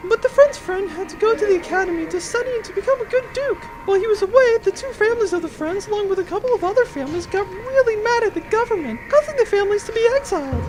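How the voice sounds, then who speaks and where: A woman's voice: very high-pitched, animated, fast talker, hardly any pauses, over music, rough recording. A woman playing an earnest, hesitant young female pilot in a comedic audio drama, with noise behind her. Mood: earnest, awkward.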